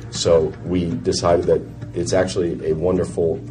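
Very deep-voiced American man over music. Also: level tone, normal speed. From United States. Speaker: television producer interviewed about a show. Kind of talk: explanatory interview commentary. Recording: music under the voice. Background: background music.

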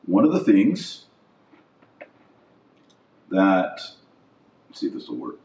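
A middle-aged man with a fairly low voice speaks in a roomy, echoing space, a little away from the mic. He delivers opinion commentary as a podcast host. His phrasing is short and emphatic, repeating words to drive a point home. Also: wildly swooping pitch, takes it slowly, a little mellow. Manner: emphatic, short declarative phrases with repetition for stress. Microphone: webcam setup. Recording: roomy echo, distant microphone.

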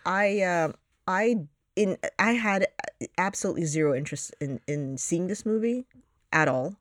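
A woman's voice: fairly low pitch, normal speed, no room sound, clean studio sound. A woman co-hosting a casual film podcast, teasing and blunt, laughing often. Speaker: podcast co-host.

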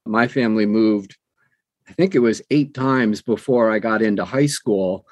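Older man, low-pitched, folksy storyteller. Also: moderate pace, no echo. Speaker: interview guest, audiobook narrator. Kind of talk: conversational interview, storytelling.

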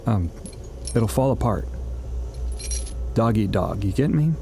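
An actor in a science fiction radio drama playing a persuasive, evasive corporate recruiter, earnest and halting as he coaxes. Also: lowish voice, gravelly, warm tone, slight background noise, full character voice. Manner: hesitant, halting, coaxing.

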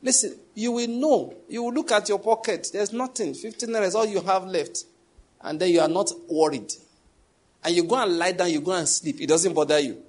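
Nigerian English accent, husky male pastor, fairly high voice, joking sermon. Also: fairly quick, darkish tone. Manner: conversational, humorous, laughs at his own jokes.

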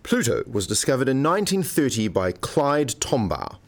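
A man's voice: mid-range voice, big pitch swings, moderate pace. A man playing a sardonic, mock-pompous academic colleague in a scripted radio play, given to lecturing. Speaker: supporting actor in a radio play.

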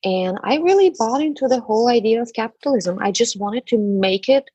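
A woman with a Bulgarian accent speaks with a slightly husky, breathy voice. She chats as a guest, with frequent fillers and laughs. The sound is dry and close. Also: a little high, animated, fairly quick, mellow, dry recording, muffled recording. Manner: chatty, laughs often, hesitant fillers. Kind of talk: conversational interview.

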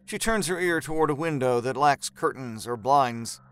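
A man narrating a horror story in a measured, suspenseful, dramatic storytelling style. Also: medium pitch, lively intonation, fairly bright tone, smooth, natural voice.

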